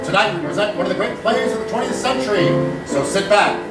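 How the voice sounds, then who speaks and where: A man's voice: high-pitched, animated, quick pace. A man announcing a radio theater show in a scripted, old-time introduction style. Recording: echoey hall, distant mic, loud guitar music.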